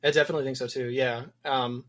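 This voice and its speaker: A man's voice: medium pitch, little pitch movement, quick pace, a bit bright. A man from Wisconsin, a game developer guest in a casual podcast interview, talking thoughtfully with many ums. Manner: thoughtful, rambling with frequent ums.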